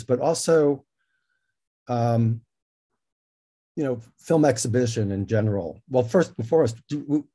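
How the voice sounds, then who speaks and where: Husky, mellow male interviewer. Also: mid-range voice. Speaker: interviewer, moderator. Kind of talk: conversational interview questioning.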